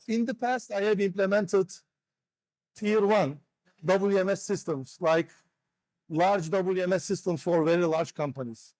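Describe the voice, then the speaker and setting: A man with a Turkish accent gives a trade show presentation in a high voice. His phrasing is non-native, with frequent fillers and restarts. The sound is dry and close. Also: darkish tone, no room sound, low-quality recording. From Turkey. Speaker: company co-founder and CEO presenting. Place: noisy trade show floor.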